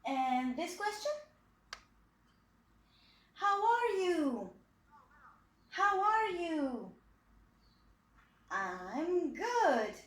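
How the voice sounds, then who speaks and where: Woman in her 20s from Poland, kids' English teacher, patient and repetitive, high lively pitch. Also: drawn-out words, pauses often, roomy sound. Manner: patient, repeats phrases for pupils to copy. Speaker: English teacher for young children. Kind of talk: slow, clearly spoken video lesson for kids.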